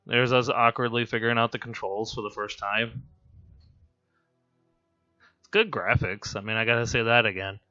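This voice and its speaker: A hoarse man with laryngitis giving casual, rambling, amused gameplay commentary as a voice-over. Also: medium pitch, steady tone, normal speed, long pauses, bright, forceful tone, muffled recording. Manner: rambling, amused and self-deprecating. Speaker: game commentator.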